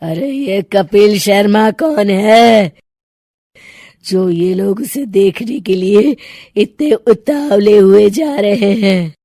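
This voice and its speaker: A woman voicing a dangerous, taunting witch in a cartoon story, with a drawn-out delivery. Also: mid-range voice, dark tone, no echo, clean studio sound. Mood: mocking. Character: dangerous menacing witch with big teeth, out hunting.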